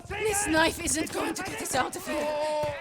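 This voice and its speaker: War game casting: young Russian female sniper, high voice, Russian accent, hard-edged and vengeful, loud score behind. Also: measured pace, loud music behind the voice. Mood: urgent, distressed. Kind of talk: cinematic war game acting.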